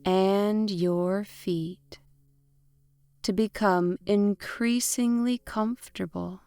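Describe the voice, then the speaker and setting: A woman narrating a guided imagery meditation in a gentle, soothing way, in short deliberate phrases with long pauses. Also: mid-range voice, steady tone, clean tone, dry recording. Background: instrumental music.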